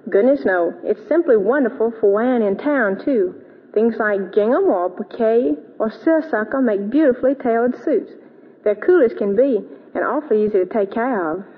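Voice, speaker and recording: A woman's voice: a little high, expressive, moderate pace, husky. A woman speaking as a gushing guest in a scripted 1940s radio advertisement. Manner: bright, gushing enthusiasm. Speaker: guest in a radio soap commercial. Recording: tinny, reverberant old radio broadcast.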